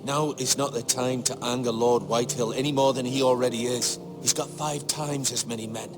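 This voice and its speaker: A man's voice: medium pitch, fairly even intonation, a little fast, music in the background, lightly acted. Man as a cautious, worried noble's counsellor. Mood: cautioning. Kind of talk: dramatic video game acting.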